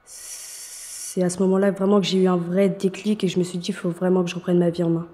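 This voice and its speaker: A young woman in her twenties speaks haltingly about her past in a documentary interview. Her voice is low-pitched and mellow. The room gives a long reverb. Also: level tone. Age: 20s. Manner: halting, hesitant. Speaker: trainee interviewee. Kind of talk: documentary interview, personal testimony.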